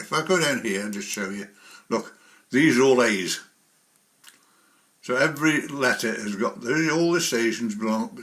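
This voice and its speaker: A man presents a casual software walkthrough in a medium-pitched voice. He talks in an informal, rambling way, working through each step as he explains it. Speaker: presenter of a model railway software tutorial. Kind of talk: casual spoken screen tutorial.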